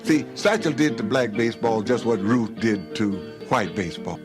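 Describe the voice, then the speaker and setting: A man telling baseball history slowly and deliberately in a documentary interview. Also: on the low side, lively intonation. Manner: slow, measured storytelling. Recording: documentary clip with music under it. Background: guitar music.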